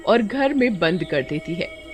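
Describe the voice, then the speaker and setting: A woman giving scripted narration for an animated horror story. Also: lowish voice, unhurried, over music.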